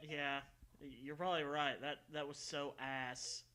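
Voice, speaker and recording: A man's voice: on the high side, fairly even intonation, measured pace, over music. A man co-hosting with casual, sarcastic joking commentary. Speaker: co-host commenting on the reading.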